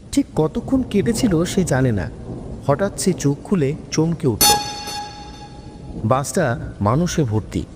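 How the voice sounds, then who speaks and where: A man narrating a scripted horror story in a dramatic style. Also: on the high side. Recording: long reverb, music bed.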